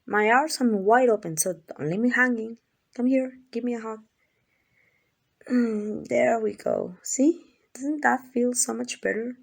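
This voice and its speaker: Casting: actress as obsessively loving female yandere captor, higher-pitched voice, gushing and coaxing, ASMR roleplay. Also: measured pace, gravelly. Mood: tender, coaxing. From Mexico. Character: doting, obsessive yandere woman kidnapper comforting captive.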